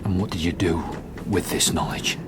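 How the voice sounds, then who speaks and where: A male actor plays an awestruck, ambitious young man in a gothic audio drama. He speaks in a low voice with earnest, insistent curiosity. There is clear noise behind him. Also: fairly even intonation, moderate pace, lightly acted. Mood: awed, probing.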